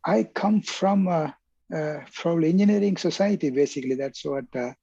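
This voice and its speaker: An older man from southern India, talking haltingly as an interview guest with many uhs, often tacking "yes" onto his sentences. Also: a little high, creaky, warm tone, husky. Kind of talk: conversational interview. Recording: dull lo-fi line.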